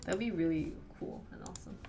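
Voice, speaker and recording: A woman with a Wisconsin accent from the United States, chatting casually with viewers as a live streamer and firing quick questions back at them. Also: low-pitched, huge pitch swings, warm tone, faint music behind. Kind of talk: casual chat answering viewers.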